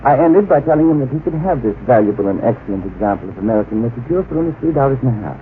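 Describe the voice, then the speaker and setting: A man's voice: mid-range voice, racing, lightly acted. A man as dreamy, vain young secretary, swooping voice. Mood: pleased, smug. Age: young adult. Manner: airy, self-satisfied, excitable. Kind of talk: old-time radio drama acting. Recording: tinny, hissy old radio recording.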